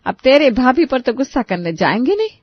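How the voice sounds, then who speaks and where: A woman's voice: sits high, big pitch swings, a little fast, no room sound, lo-fi sound, voice pushed up. A woman voicing a middle-aged mother, soothing yet admonishing, in an audio story. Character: middle-aged submissive, cautioning mother advising her daughter.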